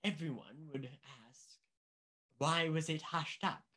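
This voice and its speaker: An actor playing a pompous, anxious upper-class government official in a mystery radio play, speaking deliberately and emphatically. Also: male voice, on the high side, fairly even intonation, bright, forceful tone. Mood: alarmed, urgent.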